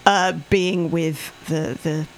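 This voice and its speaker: A woman's voice: fairly low pitch, steady tone, slow pace, very noisy. Chatty woman co-host. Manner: chatty, laughs often, reads out listings. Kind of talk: casual radio chat.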